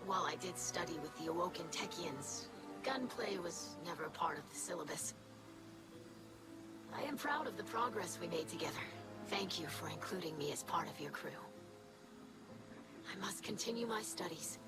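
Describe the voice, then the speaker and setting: A woman's voice: lowish voice, expressive, normal speed, long pauses, lightly acted. A woman playing an earnest, studious young alien scholar in a video game, speaking politely and formally. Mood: earnest, grateful. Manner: polite, formal, precise wording. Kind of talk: video game character acting. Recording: loud game music behind.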